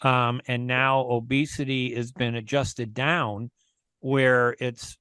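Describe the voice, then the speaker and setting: A chatty male radio host talks into a close studio mic that leaves his voice dry and free of room sound. His pitch sits in a middle range for a man, and he thinks aloud with frequent fillers. He leans into his opinions as he talks. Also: little pitch movement, slow, bright, forceful tone, smooth, no echo. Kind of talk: casual radio conversation.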